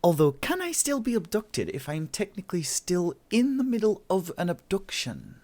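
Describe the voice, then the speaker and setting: A man's voice: high-pitched, wildly swooping pitch, moderate pace, a little mellow, voice pushed up, heavily acted. A man voicing a feisty young Irish woman in an audiobook, chattering in a flustered way. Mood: nervous, wry.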